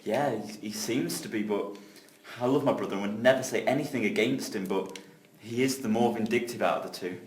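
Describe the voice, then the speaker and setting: A man's voice: fairly low pitch, a little fast, natural voice. A man playing an earnest, loyal, conflict-shy brother in an amateur audio drama, sincere and informal. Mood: troubled, thoughtful. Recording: echoey room with background noise.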